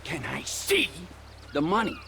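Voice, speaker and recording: A man playing a volatile, sarcastic, crude criminal boss in a video game, theatrical and mocking with drawn-out phrases. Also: high voice, wildly swooping pitch, creaky, noisy background, heavily acted. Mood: crude, impatient. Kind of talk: video game cutscene acting. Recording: music behind the voice.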